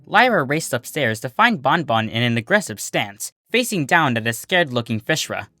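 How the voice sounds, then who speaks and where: A narrator reading a scripted story in an animated storytelling style. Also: mid-range voice, normal speed, dry recording, studio quality, faint music behind. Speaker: narrator, fan fiction reader.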